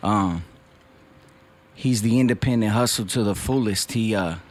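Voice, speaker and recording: A man's voice: on the low side, a little slow. A man from West Covina, California, guesting as a rapper in an interview. He talks in an earnest, reflective way and keeps dropping in "bro" and "dog". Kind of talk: candid interview talk.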